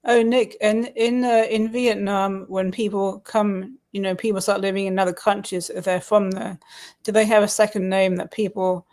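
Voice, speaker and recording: A guest chatting casually over a call, talking haltingly and repeating words while recalling things. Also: mid-range voice, flat delivery, darkish tone. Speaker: guest on a stream. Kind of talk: casual chat.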